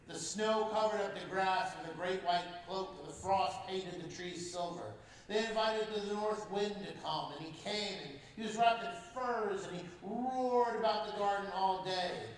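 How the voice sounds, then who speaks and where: A man's voice: high-pitched, a little slow, a little hiss or hum. A man reading a storybook aloud to a church congregation as guest speaker, telling it expressively and without hurry. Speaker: guest speaker at a parish mission. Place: large church. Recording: echoey church heard from far off.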